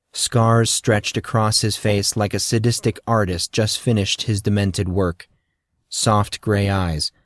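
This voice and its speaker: A man's voice: fairly low pitch, dry recording, clean studio sound. A man narrating scripted fiction as an audiobook storyteller.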